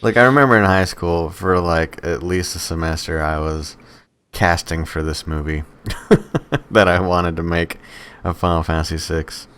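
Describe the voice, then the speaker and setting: A man's voice: low-pitched, normal speed, a bit bright, clear, resonant tone. A man co-hosting a podcast, bantering casually and informally with the odd swear word. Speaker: podcast co-host.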